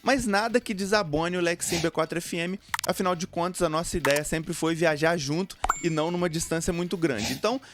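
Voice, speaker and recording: A man's voice: a little high, fairly quick, few pauses, background noise. A man with a Brazilian Portuguese accent presenting a product review in an explanatory, informal way. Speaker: motorcycle gear review video host. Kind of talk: product review narration to camera or voice-over. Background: background music.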